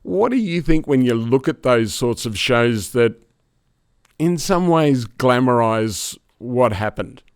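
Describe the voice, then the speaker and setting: A man's voice: medium pitch, expressive, slow pace, smooth, no room sound, studio quality. A man hosting a podcast, asking a guest conversational, curious questions. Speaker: podcast host. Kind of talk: interview questions.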